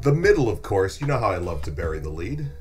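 A man's voice: sits low, sing-song, fast, darkish tone, faint music behind. A middle-aged man playing a genial, teasing local podcast host in a scripted interview. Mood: wry. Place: small furnished podcast studio. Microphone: studio microphone on a desk stand.

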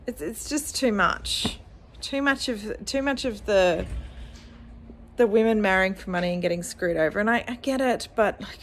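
A woman's voice: mid-range voice, fairly bright tone. A woman in her thirties with an Australian accent, chatting casually and bluntly in a book vlog, filmed on a phone at arm's length at home. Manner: chatty, blunt, exasperated asides. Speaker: book vlogger. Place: car, then living room at home. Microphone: phone camera at arm's length.

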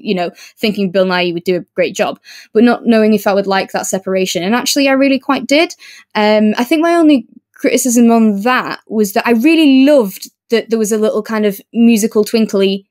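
A woman co-hosting a podcast, chatting casually and thinking aloud as she reviews an audiobook. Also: fairly high pitch. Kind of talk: casual chat, book review discussion.

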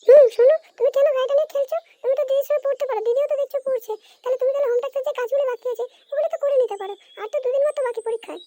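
Nagging mother for a cartoon, played by a woman: very high pitched-up voice, brisk, repetitive scolding. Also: dark tone, slight background noise. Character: nagging mother of two schoolchildren. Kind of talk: amateur cartoon dialogue.

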